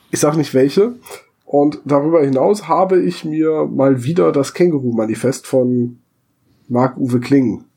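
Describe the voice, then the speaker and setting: Stuffy-nosed young man, mellow husky voice. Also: medium pitch. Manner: wry, self-mocking humor. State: stuffy nose from a cold. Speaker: podcast co-host. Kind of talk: casual podcast chat.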